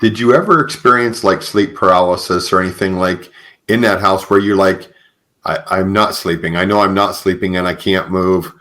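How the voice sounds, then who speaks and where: Low-voiced man hosting a casual chat. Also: fairly even intonation, moderate pace, fairly bright tone. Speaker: podcast host.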